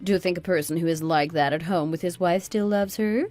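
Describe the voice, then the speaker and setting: A woman's voice: lowish voice, fairly quick, a little mellow, faint music behind, natural voice. A woman playing a spiteful, self-righteous mother-in-law of sixty-two in an audio drama, scolding and condescending with dramatic exclamations. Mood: smug, taunting. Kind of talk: scripted radio drama dialogue.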